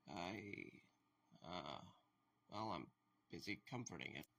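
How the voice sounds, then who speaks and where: An actor plays a subdued, caring man in an audio drama. His voice is gravelly and his delivery is halting and hesitant. A faint noise sits behind him. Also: deep voice, expressive, sharp tone, full character voice. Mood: hesitant, weary. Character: Mike, subdued, empathetic man facing haunted animatronics.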